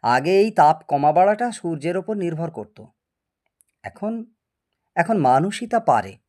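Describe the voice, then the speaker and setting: A man's voice: on the high side, very animated, unhurried, a little mellow. A man playing a boastful, eccentric older scientist in an audio story, lecturing and teasing in a grandiose way. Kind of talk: dramatised audio story acting.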